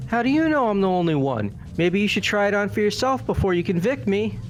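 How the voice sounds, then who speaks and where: A man's voice: high voice, very animated, brisk. A man voicing a goofy, clueless young guy who protests in a whiny, slangy way, in a fan-dubbed visual novel. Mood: defensive. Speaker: voice actor in fan game playthrough. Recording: music under the voice.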